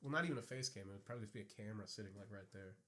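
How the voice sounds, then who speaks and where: A man's voice: fairly low pitch, rapid-fire, pushed and bright. A young man co-hosting a casual podcast, rambling and joking crudely and laughing often. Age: young adult. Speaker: podcast co-host. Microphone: podcast microphone.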